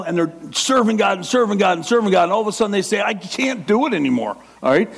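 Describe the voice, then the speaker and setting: A middle-aged man preaching a sermon with passion, acting out the inner voice of a struggling believer. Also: sits high. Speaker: pastor.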